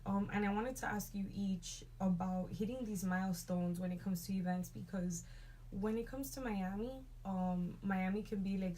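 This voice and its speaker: A woman hosts a talk show in a mellow, fairly low voice that stays level in pitch. She talks in an upbeat, conversational way over a faint background hiss. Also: poor audio. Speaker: host.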